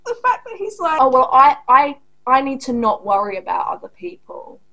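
A fairly high-voiced woman in her thirties reacting to a clip from her small home room at the desk. She talks in a blunt, sarcastic, angry way, swearing freely as she comments. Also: very animated, normal speed, creaky, pushed and bright, highs cut off. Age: 30s. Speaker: reaction video host.

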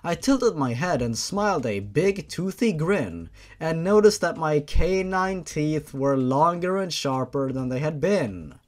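A man's voice: on the high side, very animated, measured pace, few pauses. A man reading fan fiction aloud as the host of a series, in a dramatic, mock-serious storytelling manner.

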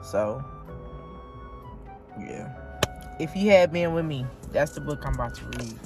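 A woman vlogging from inside her car, reading aloud haltingly and chatting straight to her viewers. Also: a bass voice, lively intonation, music in the background. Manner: halting read-aloud, stumbles and restarts; chatty, talks directly to viewers. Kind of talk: casual vlog, reading aloud.

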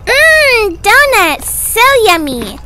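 Woman doing an eager, whiny little kid, very high. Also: very animated, very slow, music in the background, full character voice. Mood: happy. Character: excitable, squabbling little school-age twin child.

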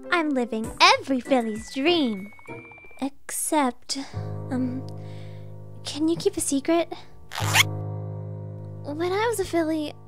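A woman voicing an earnest, anxious young pony princess in an animated comic dub, with emotional delivery. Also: high voice.

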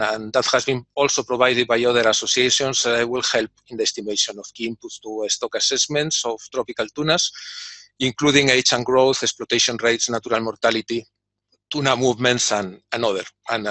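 A man's voice: medium pitch, bright, forceful tone, dry recording. A man who speaks as his gives a prepared conference keynote over a video call, with many fillers and repeated words. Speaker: industry representative giving a keynote. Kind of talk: prepared conference talk read from a script.

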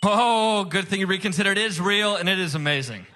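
High-pitched man, showy game show host. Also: animated, fairly quick, bright, forceful tone. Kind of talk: comedy game show hosting before a live audience. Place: stage before a live audience.

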